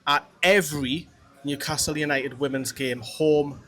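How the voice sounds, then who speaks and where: A man's voice: on the high side, fairly even intonation, measured pace, sharp tone. A man hosting a casual football podcast, chatty and rambling with frequent restarts. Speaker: podcast host. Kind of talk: casual chat.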